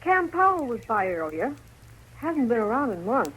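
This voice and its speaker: A woman's voice: fairly high pitch, sing-song, normal speed, a bit bright. A homely frontier ranch wife with a rural American accent. Her talk is folksy and plain, in the style of a fifties radio western with slight noise. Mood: curious, easygoing. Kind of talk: 1950s radio drama acting. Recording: noisy old radio recording.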